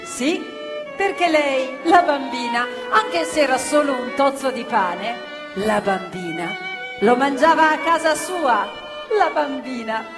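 A woman with a high voice narrates a stage play in a rhythmic, expressive way that slips into half-singing. The recording comes from a live performance, with a long reverb and a violin clearly audible behind her. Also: takes it slowly, music in the background.

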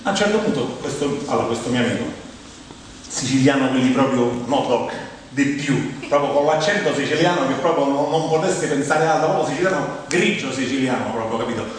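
A man's voice: mid-range voice, lively intonation, brisk. A man with a Roman accent performing a humorous theatrical monologue in a colloquial, anecdotal way, acting out other people's remarks. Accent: Roman Italian. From Rome, Italy. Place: theatre stage, recorded from afar. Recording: very echoey hall, distant mic, faint noise.

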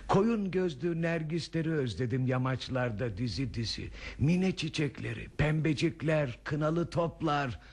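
A man's voice: a little high, expressive, measured pace. An actor playing a dreamy, nostalgic, stubborn elderly husband in a radio play, lingering wistfully over his descriptions. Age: older. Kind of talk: Turkish radio theatre acting.

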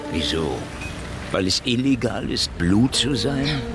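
An actor playing a cynical, menacing hard-boiled private detective in a radio play, with a sardonic, threatening drawl. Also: male voice, medium pitch, lively intonation, slow, music in the background. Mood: stern, sardonic. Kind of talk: noir radio play acting.

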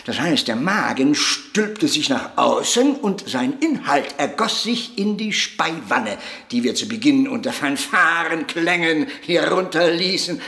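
An actor playing an eccentric, boastful, cantankerous old avant-garde puppeteer in a radio drama, lecturing grandly and theatrically. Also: male voice, fairly high pitch, gravelly, bright, forceful tone, heavily acted. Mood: gleeful, boastful. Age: elderly. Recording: echoey room.

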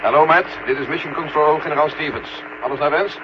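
An actor playing a gruff, exasperated general at mission control, blunt and commanding, in a 1970 Dutch radio drama. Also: male voice, a little high, fairly quick, heavy background noise, lightly acted. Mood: brisk. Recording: muffled, humming 1970 radio recording.

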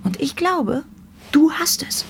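An actress playing a cool, manipulative television boss in a German radio drama, intimate yet bossy. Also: female voice, high voice, sing-song, slow pace, creaky, slight background noise, full character voice. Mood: cool, calculating. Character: cool, commanding female TV boss, seductive and manipulative.

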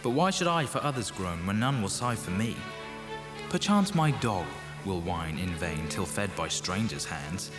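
A lonely, melancholy male poet recites verse in a radio drama. The voice is deep and subdued, in a roomy echo with violin music underneath. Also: animated, a little slow. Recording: echoey, violin music behind the voice.

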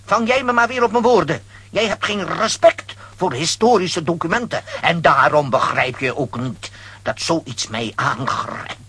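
A man's voice: fairly high pitch, measured pace, fairly bright tone, slightly rough, dry recording. An actor playing a vain, blustering, easily flustered man in a children's audio play, stammering and pompous. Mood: indignant.

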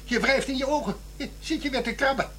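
A man's voice: extremely high pitch, quick pace, a bit bright, slight background noise. A man playing a stern, exasperated company boss in a radio drama, blunt, commanding and sarcastic.

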